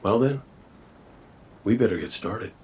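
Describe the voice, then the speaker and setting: An actor playing a wry, roguish robot gunslinger in a video game, with a folksy drawl. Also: male voice, lowish voice, expressive, measured pace, darkish tone, distant mic, a little hiss or hum. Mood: wry, teasing. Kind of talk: video game cutscene acting.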